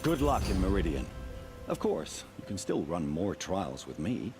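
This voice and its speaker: An actor playing a seasoned hunting trial keeper in a video game, instructive and approving, encouraging but exacting. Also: male voice, low-pitched, big pitch swings, a little slow, heavily acted. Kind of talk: video game character dialogue. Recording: music behind the voice. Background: game music.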